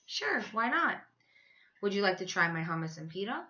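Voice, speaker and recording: A blunt, touchy young schoolgirl from a storybook, played by a woman, speaks brightly with big swoops in pitch. Every word is exaggerated and emphatic. The sound is slightly dull and lo-fi. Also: big pitch swings, normal speed. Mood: shy, delighted.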